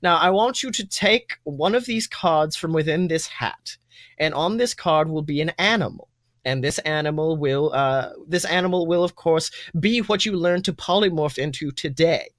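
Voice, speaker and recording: A man's voice: on the high side, normal speed, a bit bright, no echo, clean studio sound, close to an everyday voice. A man voicing a kindly wizard schoolteacher who addresses his class formally in a tabletop game. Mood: calming, instructive. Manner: formal, orderly, addressing pupils.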